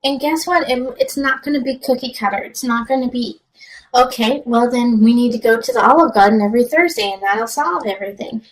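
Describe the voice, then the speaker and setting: A woman's voice: fairly high pitch, brisk, poor audio. A woman giving a conversational tarot reading, speaking directly to the listener with emphatic, encouraging advice. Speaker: tarot reader.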